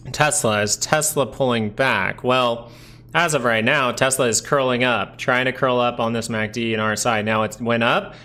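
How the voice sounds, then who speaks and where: A man's voice: medium pitch, fairly even intonation, normal speed, bright, forceful tone. Nasal-voiced man chatting through live charts. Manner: chatty, rambling, lots of "like" fillers. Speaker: stock market livestream host. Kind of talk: casual live market commentary.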